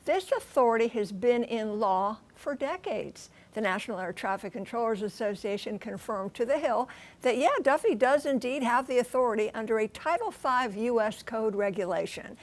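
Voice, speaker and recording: Female news correspondent, higher-pitched, conversational explainer delivery, punchy emphasis. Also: expressive, normal speed, rough recording. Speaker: news transportation correspondent and pilot.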